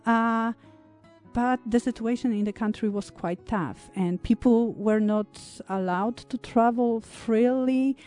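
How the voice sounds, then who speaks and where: A woman in her thirties from Poland, an English speaker, talking reflectively as an interview guest, with many pauses and fillers. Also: on the high side, warm tone, faint music behind. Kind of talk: conversational interview.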